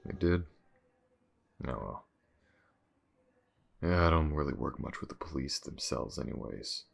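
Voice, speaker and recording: An extremely low-voiced, gruff detective played by a male voice actor in an intimate audio roleplay. He is weary and aloof, muttering slowly and haltingly, with heavy gravel in the voice as he addresses his new partner. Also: animated, exaggerated voice. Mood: weary, businesslike. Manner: halting, muttering, sighing, informal.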